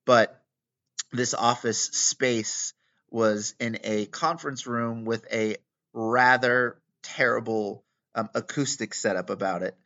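A man hosting a podcast interview in a conference room, chatty with many ums and pauses. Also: mid-range voice, pushed and bright. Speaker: podcast host. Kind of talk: casual interview. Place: conference room with poor acoustics. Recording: lo-fi, dull sound.

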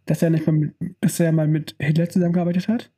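A man's voice: a little high, level tone, quick pace, mellow, breathy edge. A young adult man co-hosting a podcast, chatting casually about films, rambling, joking and often trailing off mid-sentence. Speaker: podcast co-host. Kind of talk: casual film-discussion chat.

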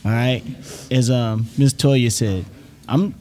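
A man's voice: medium pitch, fairly even intonation, slow, mellow. A man hosting a casual podcast round a table, encouraging and emphatic, often checking in with "all right?". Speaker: podcast host and gym owner.

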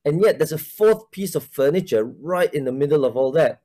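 A man's voice: a little high, animated, normal speed, warm tone. A man in his forties from Malaysia, a church minister talking casually in an interview, hesitant, with many fillers and restarts.

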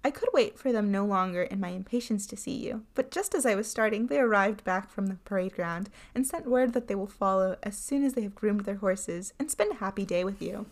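A woman's voice: medium pitch, brisk, hardly any pauses, lightly acted. Woman acting an earnest, eager sister. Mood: eager, concerned. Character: concerned, eager younger woman, the wife's loving sister.

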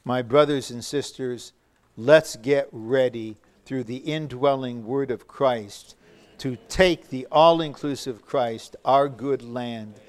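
A man preaching a sermon, measured and emphatic, with bursts of shouting. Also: mid-range voice.

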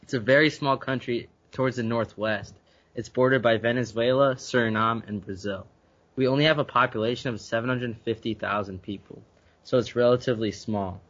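A young man from Guyana speaks as a podcast guest at a middle pitch. He talks earnestly and often repeats a word while he gathers his thoughts. The recording has a slightly dull, lo-fi sound. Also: steady tone, fairly quick, fairly bright tone. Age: young adult. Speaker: university student guest. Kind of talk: conversational interview answers.

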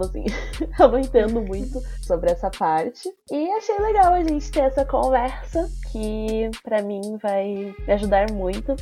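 A woman podcast host with a soft, mellow tone and a Brazilian Portuguese accent. She talks informally and chattily through a slight cold, with music under the recording. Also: on the high side. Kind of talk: casual conversational podcast hosting.

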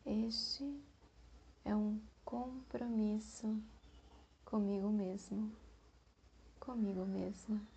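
A woman with a Brazilian Portuguese accent guiding a meditation, speaking gently and leaving long pauses between phrases. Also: medium pitch, fairly even intonation, creaky, husky. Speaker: meditation guide. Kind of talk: guided meditation.

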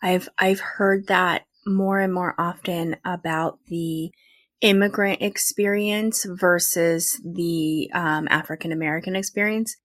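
A woman's voice: fairly low pitch, fairly even intonation, measured pace, fairly bright tone, no echo, studio quality. A woman hosting an interview podcast, asking thoughtful questions in a conversational way. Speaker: podcast host.

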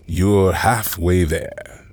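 A man voicing a calm fantasy keeper who tends weary wanderers, speaking in a soothing, theatrical game-interlude manner. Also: low-pitched, drawn-out words, slight background noise. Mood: soothing, welcoming.

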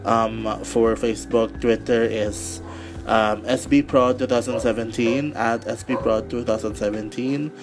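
A man with a raspy voice from a cold hosts a casual solo podcast. He rambles along with plenty of fillers and restarts. Faint music plays behind him. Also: medium pitch, fairly even intonation, measured pace. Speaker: podcast host.

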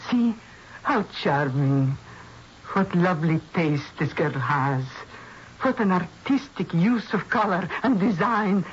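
An actress playing an earnest, mystical psychic in a 1970s radio drama, speaking in solemn, theatrical, deliberate phrases. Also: female voice, fairly low pitch, animated, slightly rough, exaggerated voice. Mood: appreciative, musing. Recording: dull, noisy old radio broadcast recording.

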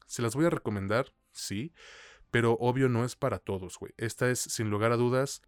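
A man's voice: medium pitch, normal speed, dry recording, clean studio sound. A man with a Mexican accent co-hosting a podcast, reviewing films in casual, slangy talk. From Latin America. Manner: slangy, colloquial. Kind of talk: casual film review chat.

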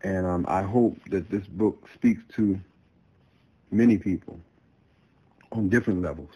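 Low, mellow-voiced man talking off the cuff. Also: slightly rough, dull audio. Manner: halting, earnest, repeats himself. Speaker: guest storyteller and writer. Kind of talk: casual unscripted monologue to listeners.

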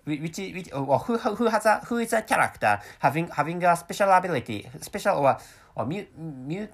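Halting Japanese-accented man in his thirties. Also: fairly high pitch, lively intonation. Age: 30s. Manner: halting, many restarts and repeated words. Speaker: podcast host. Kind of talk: rambling solo podcast talk.

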